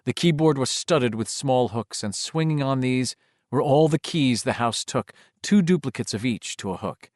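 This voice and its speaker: A man's voice: mid-range voice, animated, normal speed, darkish tone, dry recording. Dry, close male horror audiobook narrator. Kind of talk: dramatic scripted horror narration.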